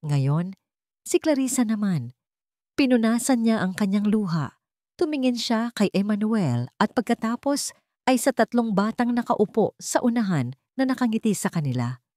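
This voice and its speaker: A woman's voice: mid-range voice, very animated, moderate pace, mellow, no room sound, clean studio sound. A woman reading a scripted dramatic love story as its narrator.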